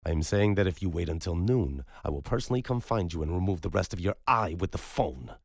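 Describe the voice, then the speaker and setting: Man acting a blunt, irritable husky doctor. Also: low-pitched, animated, brisk, no room sound, studio quality, voice pushed up, heavily acted. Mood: exasperated, threatening. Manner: curt, sardonic, emphatic. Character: blunt, irritable male hospital doctor, sardonic.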